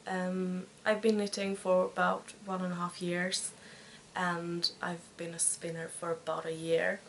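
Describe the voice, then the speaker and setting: Swedish girl in her 20s, swedish accent, talks real slow and careful, searching for words, bit of hiss behind. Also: lowish voice, flat delivery, creaky, a bit bright, low-quality recording. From Sweden. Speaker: podcast host. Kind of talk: casual chat.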